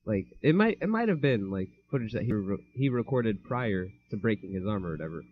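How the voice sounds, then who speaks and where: A man's voice: fairly low pitch, very animated, a little fast, dull audio. A teenage boy co-hosting a casual podcast, rambling in a laid-back way with lots of fillers. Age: teens. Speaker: podcast co-host. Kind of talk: casual chat.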